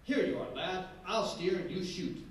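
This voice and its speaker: A man voicing a blunt, gruff male police detective in a read-aloud adventure. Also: sits high, animated, measured pace, slight background noise, voice pushed up. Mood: exasperated. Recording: roomy echo, from far off.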